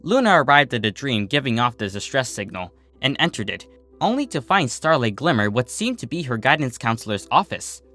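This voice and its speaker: A man reading a story aloud as an expressive narrator. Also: mid-range voice, a little fast, faint music behind.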